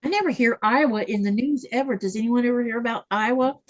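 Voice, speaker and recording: A woman's voice: fairly high pitch, fast, dry recording. A middle-aged woman hosting a sewing livestream, chatting casually and telling rambling stories. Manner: chatty, rambling storyteller, reads viewer comments. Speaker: livestream host.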